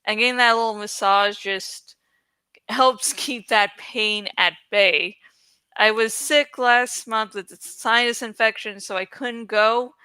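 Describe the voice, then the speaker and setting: A woman's voice: on the high side, steady tone, takes it slowly, pushed and bright, smooth, no room sound. A woman YouTuber talking casually to her viewers in a playful, rambling, self-deprecating way. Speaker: YouTuber who reads books aloud. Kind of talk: casual, chatty vlog talk to viewers.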